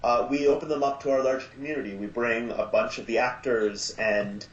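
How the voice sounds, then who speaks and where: A man's voice: mid-range voice, fairly even intonation, a little fast. Hesitant man on an echoey, dull line. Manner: hesitant, many filler sounds. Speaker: podcast guest from an audio drama. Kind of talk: casual interview talk.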